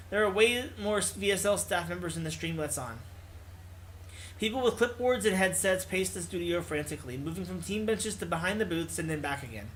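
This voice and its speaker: A man's voice: high voice, a bit bright, slight background noise, poor audio. A man reading visual novel text aloud as a let's player, stumbling over words and correcting himself, with casual asides. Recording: music playing behind the voice.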